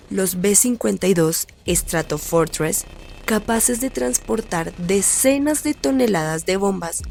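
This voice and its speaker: A woman's voice: medium pitch, vocal fry, darkish tone, slight background noise. A woman playing a serious radio news anchor, announcing a scripted wartime bulletin in a formal manner.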